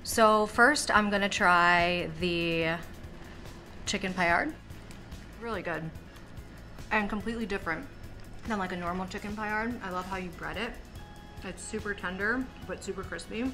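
A woman's voice: medium pitch, normal speed, long pauses, pushed and bright. A young woman hosting a food show, describing dishes with enthusiasm as she tastes them. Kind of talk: upbeat food review chat. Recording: background music under voice.

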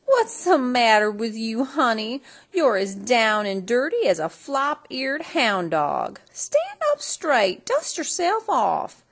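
A woman acts out a little old Western fairy godmother from a storybook. The voice leaps around, pitched up and slightly rough. She is brisk and bossy, talking in folksy cowboy slang. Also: big pitch swings, exaggerated voice.

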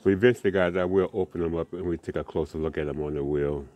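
Low-voiced man chatting about toy cars. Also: fast. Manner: relaxed, rambling, with many uhs and restarts. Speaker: die-cast car collector vlogger. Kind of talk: casual show-and-tell chat.

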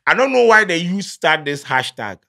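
Ghanaian man, thirties, podcast host, lively, pidgin-laced English, studio mic. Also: fairly high pitch, moderate pace, gravelly. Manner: animated, gestures a lot, mixes pidgin into English. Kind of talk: casual chat. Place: small studio with padded walls. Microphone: studio mic on boom arm.

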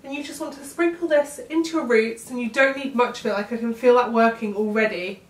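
A woman's voice: on the high side, a little fast. A young woman in her twenties with a cold, chatting upbeat through a hair tutorial in her bedroom. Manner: chatty, upbeat, often trails off while styling hair. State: congested from a cold. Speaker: beauty vlogger demonstrating a hairstyle. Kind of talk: casual how-to tutorial. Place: bedroom, indoors. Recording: roomy, slightly distant sound.

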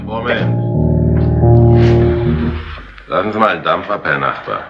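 An actor playing a cocky, bossy bar crook with slangy bluster in a 1960s crime radio play. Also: male voice, deep voice, sing-song, measured pace, gravelly, dull audio, faint music behind, heavily acted. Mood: placating, sly. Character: cocky small-time crook and boss of a shady bar.

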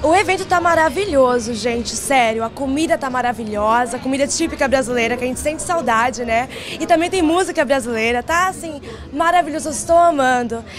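A woman's voice: sits high, fairly quick, hardly any pauses. A young woman in her twenties, gushing and enthusiastic, speaking into a reporter's handheld mic at a busy venue. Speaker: interviewee. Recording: music playing behind.

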